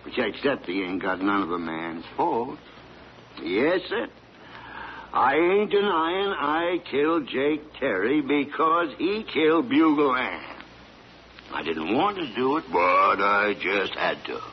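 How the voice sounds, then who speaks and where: A man's voice: medium pitch, lively intonation, slow. An actor playing an older, stoic, folksy country father with a rural American accent, in an old-time radio drama. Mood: pleading, defiant. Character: weathered stoic rural hound-loving father, convicted of killing. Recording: dull, noisy old radio recording.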